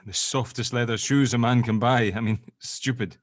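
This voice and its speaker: A man's voice: medium pitch, steady tone, normal speed, dry recording, clean studio sound, lightly acted. An actor playing a nervous, guilt-stricken man who stammers and swears in a dramatic script read-through. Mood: weary, bitter.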